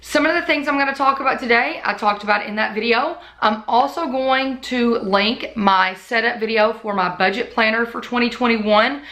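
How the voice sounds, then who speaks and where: A woman's voice: a little high, normal speed, hardly any pauses, sharp tone. A woman vlogger chatting off the cuff about her budget in long, run-on stories, her voice still a little worn from recovering. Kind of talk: casual off-the-cuff chat to camera.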